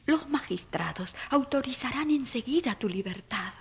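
Woman as anguished mother, very husky breathy voice, tender, pleading, protective, radio drama. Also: sits high, animated, moderate pace, full character voice. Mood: tender resolve. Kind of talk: audio drama acting.

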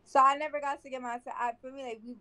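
A woman's voice: high voice, little pitch movement, fast talker, sharp tone. A woman rambling through a long personal story over a video chat, circling back and repeating herself in loose slang.

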